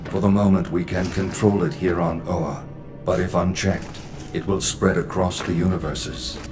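A man voices a stern alien guardian of the cosmos in a video game. The voice is deep, formal and grave, with music clearly behind it. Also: little pitch movement, moderate pace, natural voice. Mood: grave warning. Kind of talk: video game cutscene acting.

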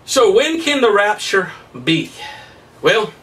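A man in his thirties preaching a Bible study at a whiteboard, emphatic and excitable, his voice somewhat hoarse. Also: high voice, slow, recorded from a distance. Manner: emphatic, rising to excited peaks, laughs at his own points. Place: small room in front of a whiteboard. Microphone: camera at a distance.

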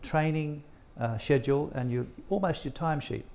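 A man's voice: on the high side, brisk, a little mellow. An older man with an Australian accent, interviewing guests on stage as the host of an evening event.

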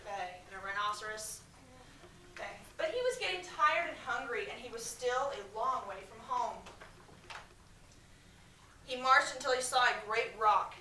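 A middle-aged American woman, a schoolteacher, reading a storybook expressively to her class and pausing to ask questions. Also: on the high side, lively intonation, pushed and bright. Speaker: elementary school teacher. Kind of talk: storybook read-aloud to a class. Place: medium-sized school classroom, indoors. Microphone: far camera. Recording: echoey classroom, distant mic, faint background noise.

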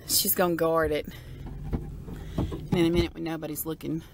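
A woman's voice: low-pitched, little pitch movement, moderate pace. A woman, chatting and laughing in a casual travel vlog, recorded on a phone held at arm's length inside a moving car. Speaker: vlogger. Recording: road noise from a moving car.

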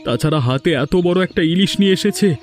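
A man's voice: high-pitched, a little fast, close to an everyday voice. Man acting troubled village man, earnest, plaintive cartoon dialogue, music behind. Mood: distressed, angry. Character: village men, apologetic guest and aggrieved householder. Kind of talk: dubbed Bengali cartoon dialogue.

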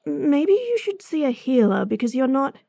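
A woman voicing a gruff, impatient female bounty hunter in a fantasy audio drama, blunt and sardonic, sighing often. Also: high-pitched, sing-song, normal speed, dark tone, no echo, full character voice. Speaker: voice actress.

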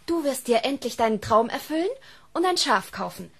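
A woman's voice: high voice, expressive, fairly quick, breathy edge, exaggerated voice. An actress playing a tender, affectionate woman soothing her partner in a German radio drama. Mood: tender, hopeful. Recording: studio drama with faint background noise.

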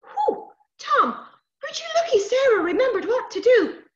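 A woman voicing a small, kindly male hedge sprite who gently admonishes children in a storybook tale. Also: very high voice, very animated, normal speed, reverberant, lo-fi sound, voice pushed up. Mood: kindly warning.

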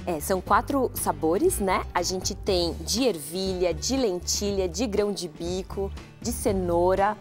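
A Brazilian woman in her thirties speaks at a medium pitch. She explains things conversationally as a guest cook on a television programme, with faint music underneath. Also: lively intonation, unhurried, hardly any pauses, a little mellow. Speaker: guest company representative and cook. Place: TV kitchen studio.

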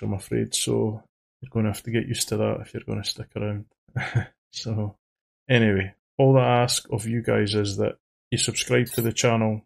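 A man with an Edinburgh Scottish accent, hosting a trading channel in a faceless voice-over, talking haltingly and repeating his words. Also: medium pitch, gravelly. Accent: Edinburgh Scottish, toned down. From Scotland. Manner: halting, repeats words, says he sounds monotone. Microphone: studio microphone.

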